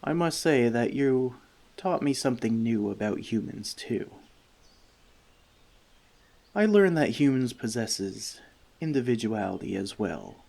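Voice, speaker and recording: A gentle, long-lived forest elf, played by a man in an ASMR fantasy roleplay. He speaks softly and slowly, with a creaky edge to his voice. His wording is measured, slightly formal and thoughtful. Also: mid-range voice, lively intonation. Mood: thoughtful, earnest. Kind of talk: soft-spoken ASMR roleplay addressed to the listener.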